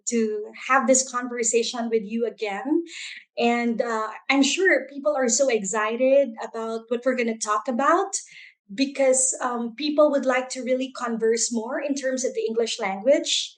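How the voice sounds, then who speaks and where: A woman's voice: fairly high pitch, steady tone, measured pace. A woman hosting an online interview, introducing her guest with many fillers and restarts. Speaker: interview host.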